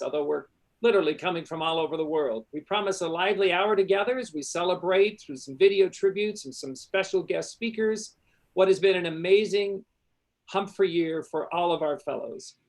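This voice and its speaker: A man's voice: high-pitched, low-quality recording. Upbeat man hosting an online ceremony. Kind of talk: formal welcoming address. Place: university journalism school.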